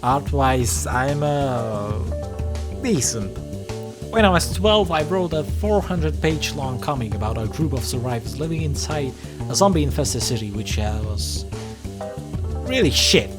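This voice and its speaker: A man narrates a comedic video essay with a lively, wide-swinging delivery. He is theatrical and sarcastic, often poking fun at himself, with music playing behind his voice. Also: medium pitch, unhurried. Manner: sarcastic, self-deprecating, theatrical. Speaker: YouTube creator and host.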